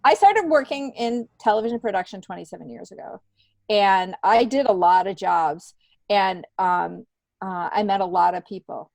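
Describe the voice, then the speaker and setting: A woman's voice: mid-range voice, moderate pace, vocal fry, a little mellow, no room sound. A middle-aged woman speaking candidly and reflectively in an informal interview, repeating points for emphasis. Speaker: podcast guest, stand-up comedian.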